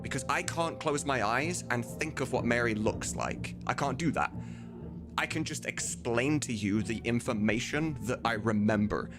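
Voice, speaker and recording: Candid rambling man over background music. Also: mid-range voice. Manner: candid, rambling, swears casually. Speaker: streamer. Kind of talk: casual livestream chat answering viewers.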